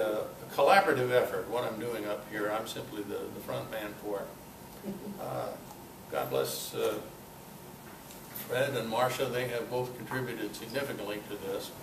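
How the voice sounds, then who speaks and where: An older man giving an informal club talk, halting often and adding conversational asides. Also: medium pitch. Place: club meeting room. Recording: echoey noisy room, distant mic.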